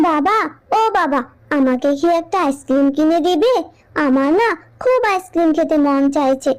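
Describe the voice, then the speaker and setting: A woman's voice: high-pitched, animated, moderate pace. A woman voicing a sweet little girl animal in a children's fable cartoon, childlike and wheedling. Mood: plaintive.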